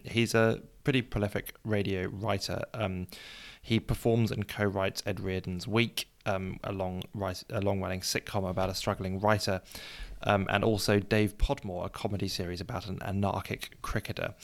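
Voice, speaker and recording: Man, fairly low voice, casual reviewing and questioning, podcast co-host. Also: creaky, a bit bright. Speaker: co-host of a cricket history podcast.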